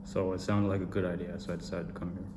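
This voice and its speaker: A young man from Korea giving measured, steady interview answers. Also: low-pitched. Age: young adult. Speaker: interviewed student. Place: indoor studio room with a cartoon backdrop. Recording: echoey room with noisy background.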